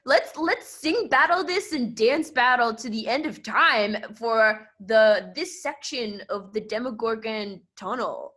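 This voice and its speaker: Woman as a high-pitched bossy jock monster. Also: sharp tone, full character voice. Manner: haughty, confrontational, theatrical. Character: bossy, snobbish jock monster gang leader.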